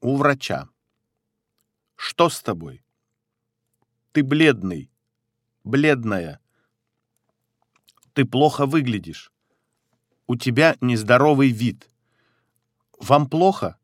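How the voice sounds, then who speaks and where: A man reading medical phrases one by one for a language lesson, in a measured, neutral manner with pauses between phrases. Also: mid-range voice, animated, no room sound, clean studio sound. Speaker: phrasebook reader. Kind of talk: slow, clearly spaced phrasebook reading.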